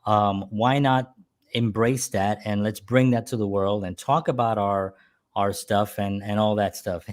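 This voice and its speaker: A man's voice: on the low side, steady tone, a little slow. Casual middle-aged man hosting, rambling and jokey. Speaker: show host and musician. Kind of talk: casual reminiscing chat.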